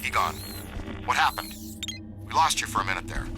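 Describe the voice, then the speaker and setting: A man voicing an eager, friendly ghost hunter in a video game, conversational and animated. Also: on the low side, a little fast, poor audio. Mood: concerned, informative. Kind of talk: video game character acting. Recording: loud game music behind.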